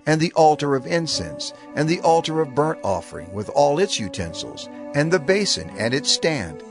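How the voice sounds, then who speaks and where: Lively-pitched man reading scripture solemnly. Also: normal speed, music in the background. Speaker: scripture reader.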